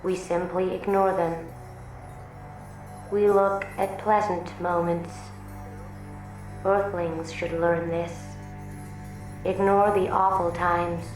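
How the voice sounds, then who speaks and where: An echoing, slow-spoken alien guide, serene and detached. The delivery is deadpan and unemotional with barely any movement in pitch, as in a radio play. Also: female voice. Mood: detached calm. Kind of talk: radio drama acting. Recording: echoing voice over prominent music.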